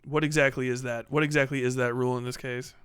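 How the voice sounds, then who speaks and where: A man talks casually as a livestream host, answering his chat in slang and swearing freely. His voice is clear and forward-sounding with a bright edge. The sound is close and dry. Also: medium pitch, flat delivery, fast talker, dry recording. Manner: profane, slangy.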